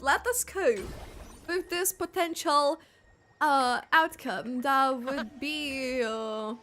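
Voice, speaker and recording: A woman reacts casually to a game on her livestream. Her voice is bright and fairly high, and she talks slowly in a chatty, expressive, halting way. Game music plays underneath.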